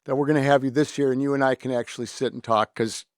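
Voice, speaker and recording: A man's voice: medium pitch, steady tone, fast, dry recording, studio quality. An American man hosting a casual podcast interview in a chatty, informal, effusive way. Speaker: podcast host.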